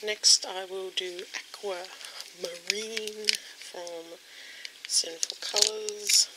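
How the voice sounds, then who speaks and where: A young woman with a croaky voice casually showing nail polish at her desk at home, rambling with lots of ums. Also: medium pitch, steady tone, very slow. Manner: chatty, rambling with many ums. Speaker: beauty vlogger. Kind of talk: casual product demo. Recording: home recording with clear background noise.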